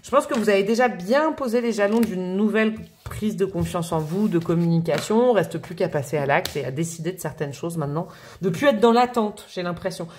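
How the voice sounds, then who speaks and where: A woman doing a casual, chatty tarot reading to camera, with expressive, playful emphasis. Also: on the low side, a little fast, slight background noise. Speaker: tarot reader.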